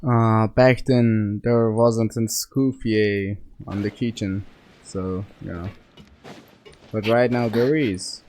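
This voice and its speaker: A man giving casual, halting, offhand commentary over gameplay as a game streamer. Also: on the low side, fairly even intonation, darkish tone, slight background noise.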